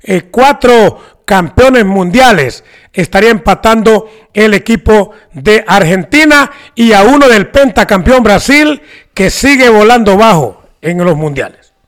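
A man's voice: high voice, animated, unhurried, a bit bright. A Honduran man co-hosting a sports radio show, joking and reading sponsor plugs. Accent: Honduran Spanish. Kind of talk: casual sports talk banter.

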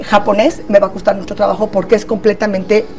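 A woman's voice: medium pitch, little pitch movement, fast talker, over a noisy crowd. A woman with a Mexican accent, a therapist guest in a casual panel chat, talking in a chatty way with many tag questions.

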